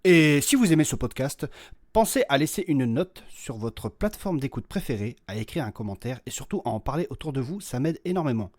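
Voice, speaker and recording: A man's voice: medium pitch, lively intonation, fairly quick, a little mellow. A man delivering scripted narration as the narrator and creator of an audio fiction podcast. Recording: faint music behind the voice.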